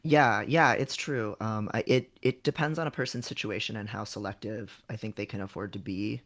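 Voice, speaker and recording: A man's voice: lowish voice, fairly even intonation, moderate pace, a bit bright. A man hosting a casual livestream, giving frank advice in a conversational, somewhat hesitant way. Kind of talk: casual livestream chat, advising.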